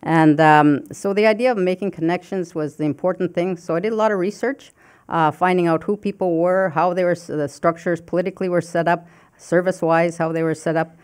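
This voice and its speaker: Halting Cree-speaking woman panelist, smooth voice. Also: lowish voice, fairly even intonation, darkish tone. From northern Saskatchewan, Canada. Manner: halting, many fillers and restarts. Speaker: panelist, political scientist. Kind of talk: panel discussion remarks. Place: conference stage. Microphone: desk microphone.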